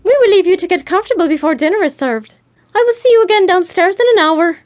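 Woman putting on a high, enthusiastic kindly hostess. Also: expressive, quick pace, darkish tone. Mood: brisk, cheerful. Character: enthusiastic, kindly woman welcoming guests to a house.

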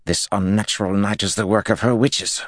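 A man's voice: lowish voice, steady tone, a little fast, dry recording, clean studio sound, voice pushed up, lightly acted. A man voicing a raging, unhinged middle-aged lord who barks threats and sputters with anger in a storybook. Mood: furious.

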